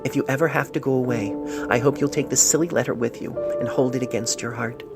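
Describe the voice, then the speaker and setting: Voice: medium pitch, fairly even intonation, quick pace, over loud music, natural voice. An amateur narrator voicing a loving, sentimental pony reading out a love letter earnestly and tenderly, recorded on a phone.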